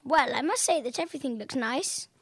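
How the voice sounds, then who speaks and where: A woman's voice: sits high, animated, brisk, dry recording. A woman acting a gracious, commanding ninety-year-old lady in an amateur radio play, formal and genteel.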